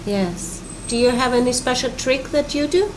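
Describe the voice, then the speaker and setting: A woman's voice: high-pitched, moderate pace, background noise. A woman from Iceland hosting a podcast interview, encouraging and constantly affirming her guest. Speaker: podcast host. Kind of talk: casual interview.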